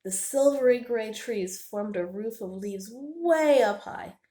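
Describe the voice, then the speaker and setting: A woman narrates a children's story at a slow, deliberate pace. Her pitch is very lively and expressive, and the room adds a noticeable echo. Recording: roomy, echoing indoor sound.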